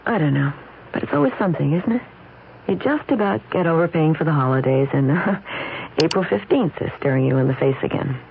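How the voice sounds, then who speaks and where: A wry housewife chatting in a radio ad, with a noticeably creaky voice. She is conversational and a bit hesitant, on a dull old broadcast recording. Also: deep voice, expressive, fairly quick, a little hiss or hum. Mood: wry, chatty. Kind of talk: conversational radio commercial acting.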